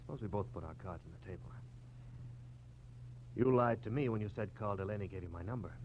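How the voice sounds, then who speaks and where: A man's voice: lowish voice, fast, lightly acted. A man playing a polite, evasive former lover in an old radio crime drama, earnest but hesitant and guarded. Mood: defensive. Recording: dull, noisy vintage radio recording with hum.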